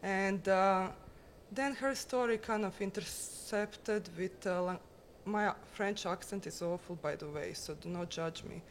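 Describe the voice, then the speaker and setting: A woman's voice: medium pitch, a little slow. A woman giving an informal, candid artist talk about their own work to an audience. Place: exhibition room with a seated audience. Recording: noisy room with ambient sound.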